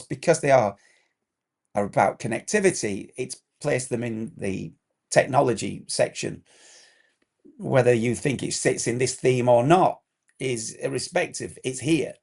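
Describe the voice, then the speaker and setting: A man with a hoarse, croaky throat gives a direct instructional explainer as a compliance consultant, firing off checklist questions. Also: mid-range voice, darkish tone.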